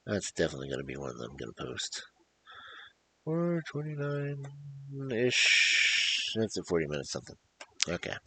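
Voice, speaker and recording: A man with a gravelly, husky voice hosting a storytelling show, reading fairy tales in a theatrical, emphatic, rhythmic way. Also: on the low side, sing-song, normal speed, pauses often, sharp tone, a little hiss or hum. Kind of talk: animated performed fairy-tale reading with casual asides.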